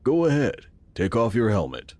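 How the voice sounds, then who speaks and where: An actor playing the earnest, calm founder of a futuristic city in a sci-fi audio drama, explaining things in a measured, formal way. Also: male voice, medium pitch, slight background noise. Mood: instructive.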